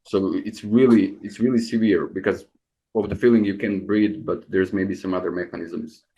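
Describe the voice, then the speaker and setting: A man's voice: sits low, fairly even intonation, normal speed, mellow. A man from Croatia, a business owner on a panel, speaking thoughtfully with restarts and fillers. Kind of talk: panel discussion. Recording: dull lo-fi sound.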